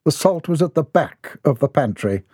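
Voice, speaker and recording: A man's voice: fairly high pitch, lively intonation, normal speed, mellow, breathy edge, no echo, voice pushed up. A stiff butler answers in clipped, formal politeness with an edge of resentment, as a man puts him on. His voice sits a bit above normal and sounds dry and close. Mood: stiffly polite.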